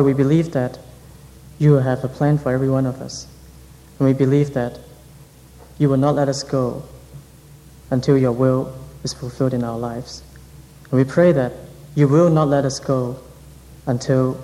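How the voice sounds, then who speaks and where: A man leading a congregation in earnest, measured prayer. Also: mid-range voice, warm tone. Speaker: interpreter and prayer leader. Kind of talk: interpreting a sermon, then leading prayer. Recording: reverberant hall.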